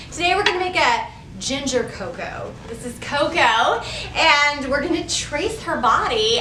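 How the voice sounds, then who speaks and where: High, sing-song woman hosting children's storytime. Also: bright, forceful tone. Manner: sing-song, exaggerated questioning for young children. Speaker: children's library storytime host. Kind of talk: children's storytime instruction and picture-book reading. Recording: roomy, distant mic, faint background noise.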